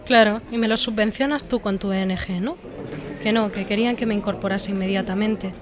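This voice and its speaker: Casting: an actress as a sarcastic young Spanish job-hunter, mid-pitched, crowd noise behind. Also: female voice, fairly even intonation, a little fast, lightly acted. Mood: scornful. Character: frustrated young female job-seeking psychologist, blunt. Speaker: unemployed psychologist. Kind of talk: scripted dialogue.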